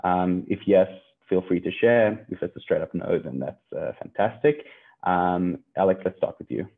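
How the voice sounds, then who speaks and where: A man's voice: sits low, moderate pace, creaky. A South African man hosting an online panel, speaking in a friendly way with loose phrasing and fillers. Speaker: panel host. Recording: tinny phone-like line.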